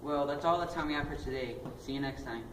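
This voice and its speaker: A man's voice: a little high, fast talker. A man hosting a mock news interview, reading scripted questions. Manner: scripted questions, occasional giggles. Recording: echoey hall, far from mic, loud noise.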